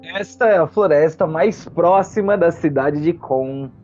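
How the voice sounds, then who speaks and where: A man narrating a tabletop game as game master, theatrical and full of deliberate pauses. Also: a little high, darkish tone, muffled recording, soft background music.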